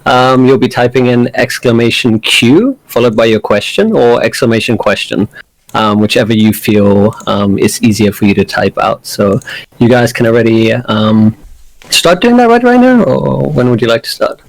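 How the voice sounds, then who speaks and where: A man from South Africa hosting a livestream panel, with a gravelly edge to his mid-pitched voice. He keeps things casual and upbeat, often pausing on fillers. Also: rough recording. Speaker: streamer, panel host.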